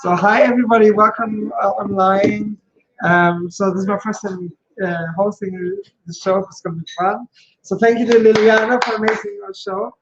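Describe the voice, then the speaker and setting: A man's voice: sits high, fairly even intonation, normal speed, slight background noise. German-accented man hosting, lo-fi sound. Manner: chatty, storytelling with fillers. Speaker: online co-host. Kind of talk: casual stand-up hosting.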